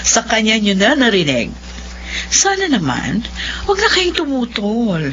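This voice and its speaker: A woman's voice: on the high side, huge pitch swings, unhurried, fairly bright tone, full character voice. A woman with a Filipino accent playing a stubborn, lovestruck middle-aged mother in a melodramatic radio drama. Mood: insistent. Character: infatuated, stubborn middle-aged mother defending her young lover. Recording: dull lo-fi audio with hum.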